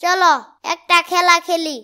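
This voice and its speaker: Cartoon casting: cheeky, stubborn small boy, Bangladeshi accent, extremely high and sharp voice. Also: flat delivery, measured pace, exaggerated voice. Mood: matter-of-fact. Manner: bold, argumentative, insistent.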